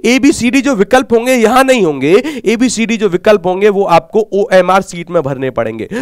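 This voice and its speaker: A man's voice: sits high, clean tone, dry recording, clean studio sound. A man with a North Indian accent giving an online exam-prep lecture as a coaching teacher, speaking emphatically, repeating phrases and asking himself questions.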